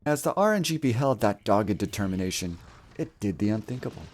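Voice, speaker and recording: A man's voice: fairly low pitch, lively intonation, a little fast, darkish tone. A man delivering scripted mock-epic narration about a video game in a grandiose, theatrical, mock-solemn manner. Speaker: video storyteller and gamer.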